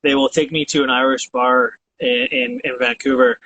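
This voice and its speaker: A laid-back man living in Canada chats easily on a video call. His voice sits at an ordinary middle pitch, with steady noise clearly audible under it. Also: moderate pace, rough recording. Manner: laid-back, laughs often. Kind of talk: casual video call chat.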